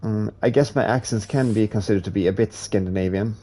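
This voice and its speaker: A man's voice: fairly low pitch, a little fast. Swedish man chatting while gaming. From Sweden. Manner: relaxed, rambling, with restarts while playing. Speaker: streamer. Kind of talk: casual chat while gaming live.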